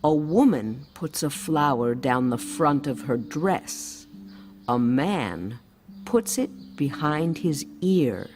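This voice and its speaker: Woman acting a scheming American queen mother, very low voice, slow delivery, storybook style, music behind. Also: animated, a little mellow. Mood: scheming, instructing. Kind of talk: storybook dialogue.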